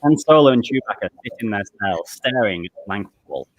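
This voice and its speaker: A man's voice: on the low side, expressive, brisk, poor audio. A man narrating stage directions at a comedic table read of a film script.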